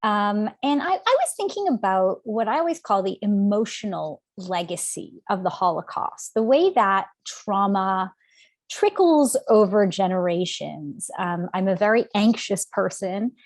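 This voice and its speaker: A woman in her forties from Canada tells her story as an interview guest, animated and anecdotal, with frequent ums and restarts. Her voice is mid-pitched and the sound is very dry, with no room echo. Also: measured pace. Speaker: author guest. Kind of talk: conversational interview answer. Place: home.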